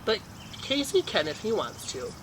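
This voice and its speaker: A performer voicing a kind, easygoing father who reasons in a relaxed way, in a children's story. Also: mid-range voice, normal speed, background noise, lightly acted. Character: easygoing, reasonable dad, kind to his son.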